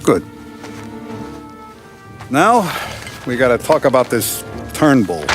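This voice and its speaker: A man playing a calm, commanding American Mafia boss in a crime video game, speaking deliberately and with quiet menace. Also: mid-range voice, music in the background. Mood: cold, commanding. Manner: deliberate, authoritative, veiled threats. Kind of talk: cinematic crime game acting.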